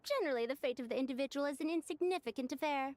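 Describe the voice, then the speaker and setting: A woman's voice: sits high, rapid-fire, a little mellow, slight background noise, close to an everyday voice. A woman voicing a proud, self-assured, youthful female scholar in a video game, boastful and pedantic. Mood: lecturing.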